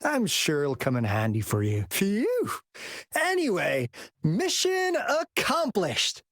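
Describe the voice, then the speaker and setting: An actor playing a breezy young man, a secretive consultant in an anime game, smooth-talking, playful and theatrical. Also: sits high, huge pitch swings, slow, a bit bright, dry recording, studio quality, heavily acted.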